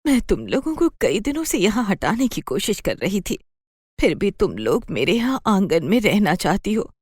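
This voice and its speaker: A woman playing a hard-working village mother, scolding yet soft-hearted, in a children's cartoon story. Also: mid-range voice, sing-song, moderate pace, husky, dry recording, clean studio sound. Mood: grateful, apologetic.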